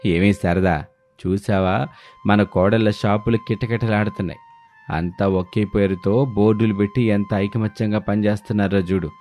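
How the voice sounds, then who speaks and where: Man acting a low-voiced contented father-in-law. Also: steady tone, normal speed, a little mellow, faint music behind, natural voice. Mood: pleased.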